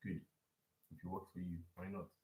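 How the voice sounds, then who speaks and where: A man's voice: sits low, level tone, normal speed, mellow. A man live-streaming a forex chart breakdown and talking loosely to his chat, with restarts and repeats. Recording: echoey room.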